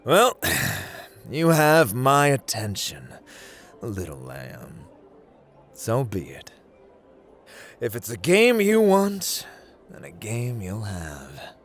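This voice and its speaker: A man's voice: huge pitch swings, very slow, pauses often, full character voice. A man playing a playful, haughty god of luck in an ASMR roleplay, theatrical and teasing, drawling his words as he addresses the listener. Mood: grand, challenging. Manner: theatrical, teasing, drawling, swinging between hushed and booming.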